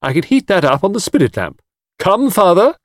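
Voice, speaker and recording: Indignant, thrifty older-style matron, done by a male reader, raised swooping pitch, haughty storybook character. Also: big pitch swings, no room sound, clean studio sound. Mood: smugly triumphant. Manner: slow, stately, indignant. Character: imperious, thrifty matron, disapproving and self-satisfied. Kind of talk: audiobook character voice.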